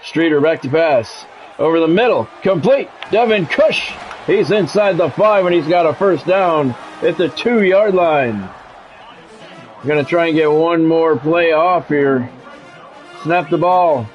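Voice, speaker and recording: A man's voice: fairly high pitch, unhurried, dull audio, soft background music. A middle-aged American man with a blunt, grumbling manner, switching between casual profane chat and sports play-by-play on a livestream. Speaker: game streamer and sports play-by-play announcer.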